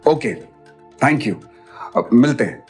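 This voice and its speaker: A serious male doctor in an audio drama. His husky voice is businesslike and slightly hesitant, with soft music underneath. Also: medium pitch, animated, takes it slowly. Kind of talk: Hindi audio drama acting.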